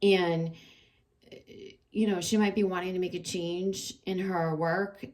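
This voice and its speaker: Lowish lady doing a dreamy tarot reading, rambly, bit of a throat tickle. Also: fairly even intonation, measured pace, a little mellow. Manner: dreamy, meandering, many fillers and restarts. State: slight tickle in throat, occasional cough. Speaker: tarot reader. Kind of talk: casual spiritual tarot reading to camera.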